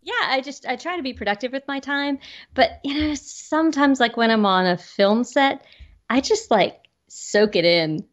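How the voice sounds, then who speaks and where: A woman's voice: high voice, moderate pace, highs cut off. Woman, actress guest, bubbly, self-deprecating, video-call sound. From Georgia, US. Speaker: actress and voiceover artist, guest. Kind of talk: casual interview chat.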